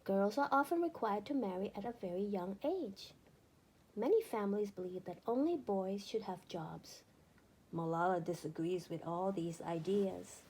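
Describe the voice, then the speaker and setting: A woman narrating a children's book aloud in an expressive, deliberate storytelling manner with emphatic phrasing. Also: mid-range voice, breathy edge, low-quality recording.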